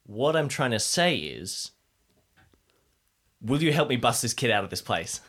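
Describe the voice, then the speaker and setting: Man acting an awkward man, expressive pitch. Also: unhurried, slightly rough, exaggerated voice. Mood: awkward, earnest. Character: awkward, earnest man trying to be kinder.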